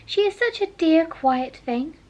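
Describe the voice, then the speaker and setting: A woman's voice: very high voice, expressive, a little slow, pitched above the performer's own voice. A woman voicing a polite, curious young girl in a storybook reading, chattering on eagerly. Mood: chatty.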